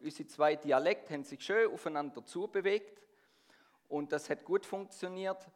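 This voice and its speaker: A middle-aged man with a Swabian accent softened by Swiss dialect preaches a sermon. His voice sits a little higher than most men's. He keeps turning to the congregation with questions and draws answers out of them. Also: moderate pace. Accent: Swabian-tinged Swiss German. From Swabia, near Stuttgart. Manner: engaging, asks the congregation questions.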